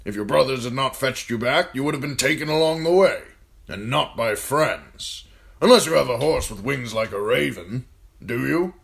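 A man voicing a gruff older military commander in an audiobook, talking bluntly and firing off needling questions. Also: mid-range voice, lively intonation, normal speed, a bit bright, voice pushed up. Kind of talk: audiobook character voice.

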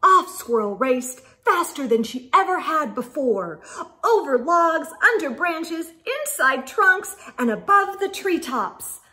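A middle-aged woman reading a picture book aloud to young children, expressively, with dramatic pauses and exclaimed asides. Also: high-pitched, animated, sharp tone. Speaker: children's storytime host. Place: small indoor room with bookshelves.

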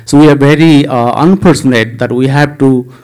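A man's voice: mid-range voice, normal speed, a little mellow. A man from Nepal with a Nepali accent, politely and hesitantly asking a question as an audience member.

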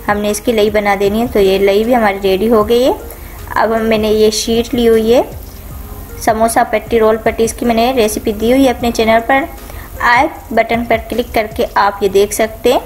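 A woman hosting a home cooking channel, calmly talking viewers through each step of a recipe in a voice-over. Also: on the high side. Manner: calm, instructive, repeats each step.

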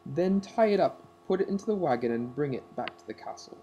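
Woman putting on a low, gruff storybook man. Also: expressive, a little fast, mellow, a little hiss or hum, poor audio. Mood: commanding. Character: commanding men of a fairy tale: king and hunters.

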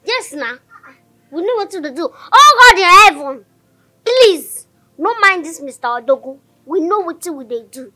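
Casting: defiant young Nigerian daughter, extremely high voice, taunting and bossy, for a comedy film. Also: female voice, lively intonation, normal speed, soft background music. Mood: cocky, commanding. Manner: cocky, taunting. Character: bratty, defiant young daughter bossing her parents around.

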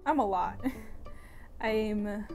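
A woman's voice: medium pitch, very slow, over music. A teenage girl in a documentary interview on a lapel mic, speaking earnestly and searching for words. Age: teens. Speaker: student interviewee.

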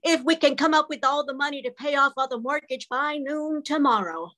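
A woman's voice: sits high, fairly quick, pushed and bright. An older woman playing an apologetic, woeful old granny in a radio melodrama, speaking hesitantly. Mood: apologetic, resigned. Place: at home on a group video call.

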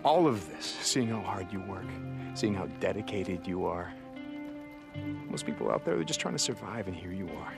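A gruff, hardened biker drifter. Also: male voice, low voice, lively intonation, moderate pace. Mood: earnest. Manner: rough, blunt, swears freely. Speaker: biker soldier, main character. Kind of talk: video game cutscene acting. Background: game score music.

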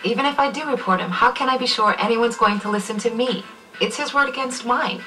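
A woman's voice: on the high side, brisk, pushed and bright, soft background music. A woman acting as a harassed, resigned order clerk in a workplace training drama. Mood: bitter, resigned. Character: harassed, resigned female order clerk, anxious about her career. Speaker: actor in a training film. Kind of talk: acted corporate training drama. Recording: distant, re-filmed off a TV screen.

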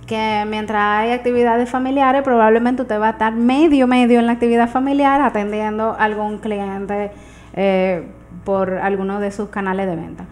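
A woman's voice: fairly high pitch, steady tone, moderate pace, darkish tone. A woman in her thirties with a Dominican accent, explaining things conversationally as a guest in a casual radio interview. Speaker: guest entrepreneur. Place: small radio studio, indoors. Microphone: studio microphone on arm. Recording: clear background noise.

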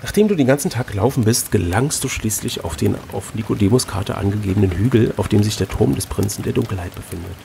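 A man reading an adventure gamebook aloud as host, switching between expressive narration and casual chat. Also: fairly low pitch, fast, gravelly, few pauses, slight background noise.

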